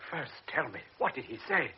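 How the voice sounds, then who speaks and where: A man's voice: on the high side, animated, moderate pace, slightly rough. A trembling old father. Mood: anxious. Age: older. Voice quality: trembling, stuttering. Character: frail old man, anxious father. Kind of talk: radio drama acting. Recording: dull old radio recording with hiss.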